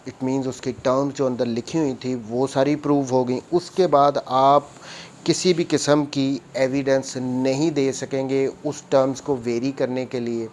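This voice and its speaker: Pakistani guy teaching law, explains stuff step by step and repeats it, mid pitch, some background noise. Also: a little mellow. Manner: didactic, repeats and rephrases points. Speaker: law lecturer. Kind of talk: explanatory law lecture mixing English legal terms.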